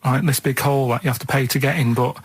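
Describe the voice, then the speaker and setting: A man's voice: medium pitch, fairly even intonation, fast talker, slightly rough. A man telling a casual, rambling anecdote as a radio co-host, plain-spoken and often checking in with a tag question.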